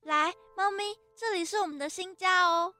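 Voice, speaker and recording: Woman playing very high-voiced doting cat rescuer. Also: steady tone, moderate pace, bright, forceful tone, dry recording, soft background music. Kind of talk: amateur radio drama acting.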